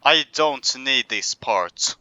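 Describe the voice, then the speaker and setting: Slow, sharp-voiced pompous male game commentator. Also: mid-range voice, expressive, no room sound, low-quality recording. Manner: pompous, rambling rants in a put-on accent; mock-serious, self-important narration. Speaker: gameplay commentator. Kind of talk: comedic survival game commentary.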